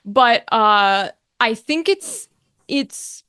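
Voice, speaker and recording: Woman with a higher voice, casual interview. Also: sing-song, very slow, sharp tone, clear, resonant tone, no echo. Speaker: interview guest, voice actor and game master.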